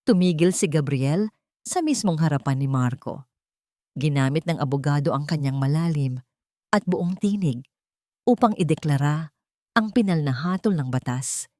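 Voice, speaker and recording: Low-voiced Filipino woman narrating drama. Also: lively intonation, darkish tone, no room sound, studio quality. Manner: measured, formal, dramatic delivery. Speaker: story narrator. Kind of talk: scripted dramatic story narration.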